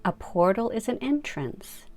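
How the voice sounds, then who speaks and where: A woman leading a guided meditation, giving soft, unhurried instructions to relax and imagine. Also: on the high side, sing-song. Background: music.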